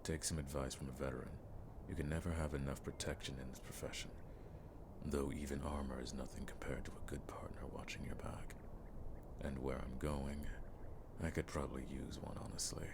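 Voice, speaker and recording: Man playing a very deep gravelly monster hunter. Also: little pitch movement, background noise. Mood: friendly, encouraging. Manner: measured, wry, old-fashioned turns of phrase. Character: seasoned male monster hunter, world-weary, dryly friendly. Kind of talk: fantasy audio roleplay acting to the listener.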